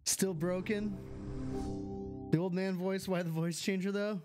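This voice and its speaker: A man's voice: high voice, fairly even intonation, unhurried, a little mellow, faint music behind. Chatty man streaming a game. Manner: chatty, reads chat aloud and answers it. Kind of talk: casual live game stream chat. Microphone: studio mic with filters.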